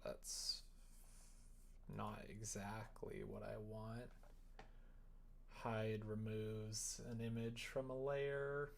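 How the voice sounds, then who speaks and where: Slow, creaky-voiced streamer muttering while working. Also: medium pitch, level tone. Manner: muttering, trailing off mid-thought. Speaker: livestream host coding a visual novel. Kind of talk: casual thinking aloud while working. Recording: noisy room, keyboard typing and clicks. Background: keyboard typing, mouse clicks.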